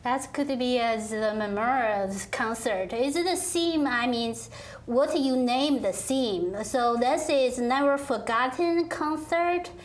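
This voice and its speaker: A woman interviewer asking questions slowly and searching for words. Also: sits high.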